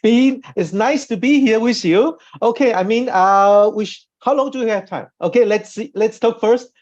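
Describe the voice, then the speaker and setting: A man from Austria who is not a native English speaker gives an informal online training talk, haltingly, repeating himself and often checking with "okay?". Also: sits high, a little mellow. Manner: halting, repetitive, often adds a questioning "okay?". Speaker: presenter and trainer, a doctor. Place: online video call. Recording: dull, lo-fi online call audio.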